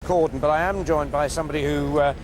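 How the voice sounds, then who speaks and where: A man's voice: on the high side, steady tone, quick pace. A man working as a news reporter, interviewing live outdoors in a city. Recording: noisy outdoor city street.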